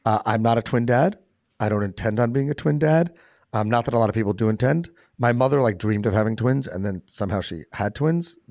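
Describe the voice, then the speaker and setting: A man's voice: medium pitch, expressive, a little fast, a little mellow, dry recording, studio quality. A man hosting a podcast, chatting casually and making joking asides. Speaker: podcast host. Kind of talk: casual interview chat.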